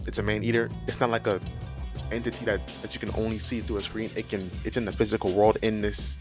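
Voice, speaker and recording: A man's voice: fairly low pitch, little pitch movement, fast talker, music in the background. A man giving a casual explainer as a video host, rambling and often repeating or correcting himself as he goes. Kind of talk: casual, loosely structured explainer commentary.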